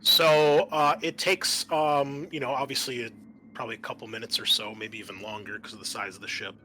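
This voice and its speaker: A man working as game master, narrating and explaining a tabletop game in a halting, conversational way. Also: medium pitch, little pitch movement, bright, forceful tone, a little hiss or hum, poor audio.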